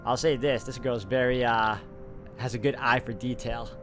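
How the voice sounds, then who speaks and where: A man with a mid-range voice reads a visual novel's text aloud at a steady pace, dropping in casual asides. Game music plays loudly behind him. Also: mid-range voice, moderate pace. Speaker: gameplay narrator. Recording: voice over loud game music.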